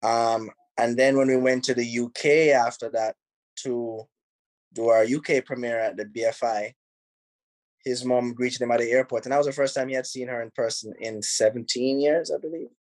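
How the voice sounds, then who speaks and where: Jamaican man, film director on a video call, easygoing and chatty, mid-pitched, dry sound with no room. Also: normal speed, no echo, rough recording. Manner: relaxed, rambling, many "you know"s, laughs easily. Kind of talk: casual interview answers. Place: home in Los Angeles.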